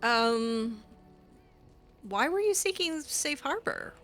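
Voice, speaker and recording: A woman improvising casual in-character game roleplay, speaking in a halting, awkward but expressive way. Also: sits high, faint music behind. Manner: halting, awkward, expressive, breaks into laughs and sighs. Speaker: roleplay gamer, series host.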